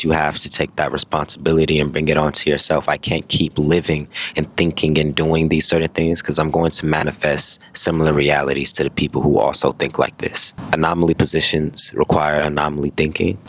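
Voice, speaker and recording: A young man in his twenties with an extremely deep voice and a gravelly, creaky edge. He talks quickly and rambles through a motivational monologue, stopping often to correct himself. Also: little pitch movement, fairly bright tone. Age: 20s. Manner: fast, rambling, self-correcting. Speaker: vlogger.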